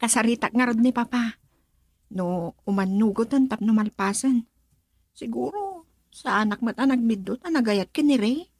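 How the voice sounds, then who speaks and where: A woman's voice: on the high side, moderate pace, pauses often, a little mellow, breathy edge, dry recording. A young woman with a Filipino accent acting a hesitant, earnest daughter who is considering marriage in a radio drama.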